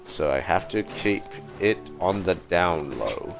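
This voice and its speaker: Low male voice, slow pace, halting and musing, casual game stream commentary, music behind. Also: animated, gravelly. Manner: musing aloud, hesitant, halting. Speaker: livestream host playing a game. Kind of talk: casual gaming livestream commentary. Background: video game music.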